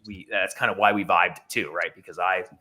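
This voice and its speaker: A man's voice: low voice, steady tone, a little fast, sharp tone. A man hosting a podcast interview in a casual, chatty style. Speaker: podcast host, real estate broker.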